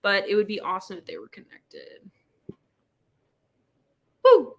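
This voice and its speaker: A woman's voice: medium pitch, big pitch swings, measured pace. A woman hosting a casual book chat livestream, with a playful, exclamatory, slangy way of talking. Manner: playful, exclamatory, slangy, spells out internet abbreviations. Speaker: book club live show host.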